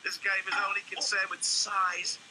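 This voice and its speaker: A man's voice: sits high, monotone, normal speed, noisy background. A man doing cheeky, wisecracking voice-over commentary on game show footage, mock-serious and joking. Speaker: comedy commentator.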